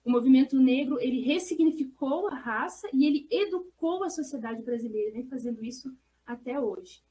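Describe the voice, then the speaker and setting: A Brazilian woman speaks as a researcher in an academic discussion. Her voice sits high and has a mellow, gentle tone. She explains in an earnest, discursive way, often adding little check-in tags. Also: expressive, moderate pace, a little hiss or hum. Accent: Brazilian Portuguese.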